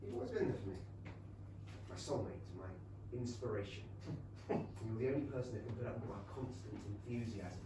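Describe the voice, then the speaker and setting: An actor playing a boyishly enthusiastic, rich young Regency gentleman with an English accent in a staged comedy reading. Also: medium pitch, moderate pace, pauses often, close to an everyday voice. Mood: gushing, excited. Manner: eager, gushing. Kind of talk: staged reading of a comic play. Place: small theatre stage. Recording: roomy theatre, distant mic, faint noise.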